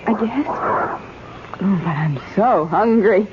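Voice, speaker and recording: Actress as a breezy, flippant wife, tinny recording. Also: female voice, medium pitch, takes it slowly, background noise, natural voice. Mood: relieved, chatty. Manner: chatty, irreverent. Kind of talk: old-time radio drama acting. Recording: tinny, narrow old radio recording.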